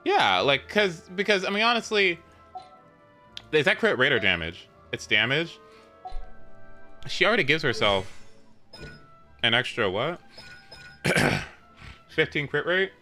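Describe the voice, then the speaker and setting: Wildly animated bright-voiced man. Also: unhurried, long pauses, soft background music. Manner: animated, leaps into squeaky high exclamations. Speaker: live streamer playing a video game. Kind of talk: casual gaming stream chat.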